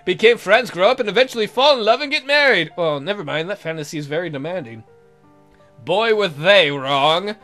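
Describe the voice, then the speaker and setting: Theatrical, high-voiced man joking through a story. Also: expressive, a little fast, bright, forceful tone, faint music behind. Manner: theatrical, jokey, exaggerated. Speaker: let's play host. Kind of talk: comedic read-aloud of a visual novel with joking asides.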